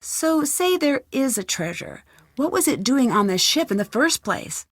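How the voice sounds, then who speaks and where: A woman's voice: sits high, big pitch swings, a little slow, dry recording, clean studio sound, exaggerated voice. An actress playing a polite, inquisitive young woman detective in a video game, with an earnest, probing way of talking. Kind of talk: video game character dialogue.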